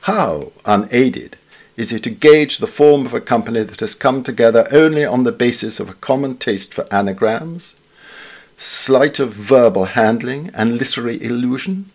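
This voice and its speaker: A man giving a measured, formal scripted reading of witty literary essays. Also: mid-range voice. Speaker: reader of newspaper essays.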